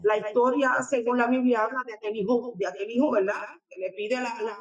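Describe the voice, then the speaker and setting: A middle-aged woman from Puerto Rico with a Puerto Rican accent, giving a halting religious testimony that is full of fillers as an interview guest. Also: on the high side, steady tone, recorded from a distance, highs cut off. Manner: halting, often tags sentences with a questioning filler. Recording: echoey room, speaker away from the mic.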